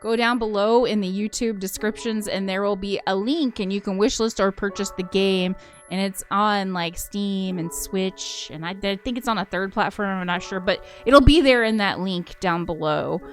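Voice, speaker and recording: A woman's voice: medium pitch, moderate pace. Casual woman game host over music. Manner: informal, says y'all. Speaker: host of a game playthrough channel. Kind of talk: casual chat.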